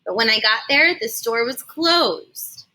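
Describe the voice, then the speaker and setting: A woman reading a children's story aloud like a teacher, animated and explaining things simply for young listeners. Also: a little high, slow pace, rough recording. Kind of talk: expressive children's read-aloud with explanatory asides.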